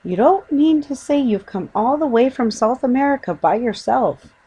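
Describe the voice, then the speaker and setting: A woman voicing a sensible middle-aged wife in a children's story, expressive and given to exclaiming. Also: fairly high pitch, moderate pace, slightly rough, pitched above the performer's own voice. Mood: astonished.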